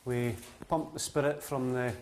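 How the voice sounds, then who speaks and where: Man, 30s, mid-pitched; a practical owner giving straightforward explanations. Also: fairly even intonation, unhurried, darkish tone, a little hiss or hum. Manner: plain, matter-of-fact explanations with frequent "uh". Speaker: distillery owner. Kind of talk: explaining on a tour. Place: indoors in a distillery still house and a cask warehouse.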